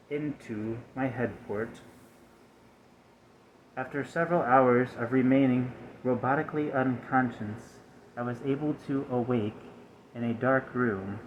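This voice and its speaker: A man plays a malfunctioning male robot in a soft-spoken ASMR roleplay, mid-pitched and stiff. The phrasing is formal, halting and over-precise, with an aggrieved air. The recording is dull, with some hiss behind it. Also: lightly acted.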